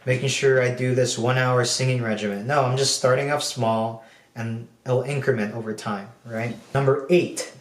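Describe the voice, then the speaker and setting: A young man from the Philippines speaks casually into his camera at home, wandering through his thoughts with plenty of ums and likes. His voice sits at an ordinary middle pitch. The room around him is bare and echoey, so his words bounce back. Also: normal speed, recorded from a distance. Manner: relaxed, rambling, frequent um and like fillers. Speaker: video diarist. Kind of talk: casual personal vlog.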